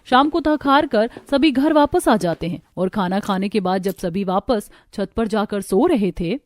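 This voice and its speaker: A woman's voice: mid-range voice, lively intonation, a little slow, a little mellow, dry recording, studio quality. A woman narrator telling a scripted cartoon story.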